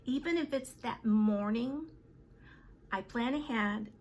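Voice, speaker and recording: Chatty woman in her fifties, slightly high. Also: a little mellow, a little hiss or hum. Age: 50s. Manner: chatty, with many fillers and trailing pauses. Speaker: vlogger. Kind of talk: casual chat to camera.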